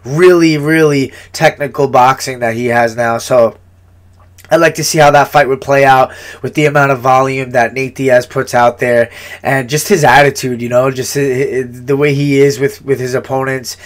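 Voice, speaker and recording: A man's voice: medium pitch, steady tone, moderate pace. A man who is a fighter giving a casual, rambling fight breakdown, with a congested, nasal voice and frequent sniffling. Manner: rambling, repeats words, swears casually.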